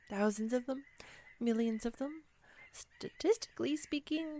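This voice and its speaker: A woman's voice: on the high side, darkish tone. A woman playing a clumsy, nervous young woman on a first date in an audio roleplay, rambling and self-deprecating. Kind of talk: intimate audio roleplay monologue.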